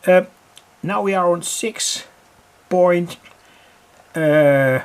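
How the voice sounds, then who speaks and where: A hobbyist giving an unscripted demo at home, explaining piece by piece in a halting way with frequent pauses. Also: mid-range voice, smooth. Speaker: hobbyist demonstrator. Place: home, indoors near a kitchen. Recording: noisy room with radio static. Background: radio noise.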